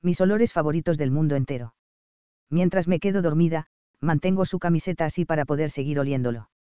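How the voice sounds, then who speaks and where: A man narrating a first-person romance audiobook in a calm, even storytelling manner, in a slightly dull, dry recording. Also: on the high side, fairly quick, clean tone, no room sound.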